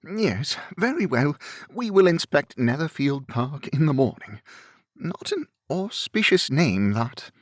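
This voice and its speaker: A man voicing an amiable, fussy young gentleman in an audiobook, chatty and given to dithering rambles. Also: on the high side, very animated, takes it slowly, no room sound, clean studio sound, pitched above the performer's own voice, full character voice. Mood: cheerful rambling.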